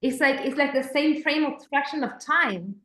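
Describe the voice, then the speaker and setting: A woman's voice: high-pitched, normal speed, sharp tone. A woman filmmaker in a casual panel discussion, often restarting her phrases while she searches for words. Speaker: documentary filmmaker and moderator. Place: panel event room. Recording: echoey room.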